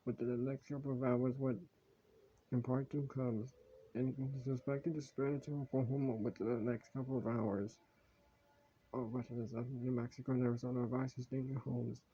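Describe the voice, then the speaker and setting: A man playing a grave emergency broadcaster, halting and repeating himself as he reads out urgent warnings in a dull home recording. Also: medium pitch, mellow, slight background noise, lightly acted. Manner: halting, repetitive, stumbling over the script. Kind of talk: mock emergency broadcast announcement.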